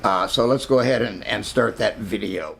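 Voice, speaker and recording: An older man, a longtime marketing professor, giving a casual video lecture in a gravelly voice, pausing often with fillers and restarts. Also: fairly low pitch, fairly bright tone. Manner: halting, many fillers and restarts.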